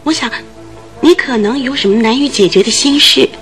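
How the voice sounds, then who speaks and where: A woman's voice: high-pitched, normal speed, fairly bright tone, soft background music. An actress in a radio drama playing a nervous, talkative landlady who tells things in earnest detail. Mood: concerned.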